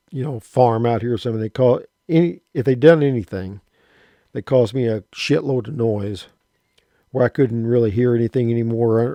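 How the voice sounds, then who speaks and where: A man in his late fifties talks in a relaxed, rambling way, stopping and restarting as he remembers. His voice is dark and mellow. The recording is dry, with no room sound. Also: mid-range voice, dry recording. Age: 50s. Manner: rambling, halting, many restarts. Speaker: shortwave radio hobbyist. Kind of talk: casual personal storytelling. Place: rural home.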